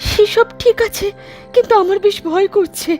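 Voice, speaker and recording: A woman's voice: very high-pitched, moderate pace, a little mellow, faint music behind. A woman voicing an anxious, gossipy village wife in a cartoon, fretful and urgent. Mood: afraid. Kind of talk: cartoon voice acting.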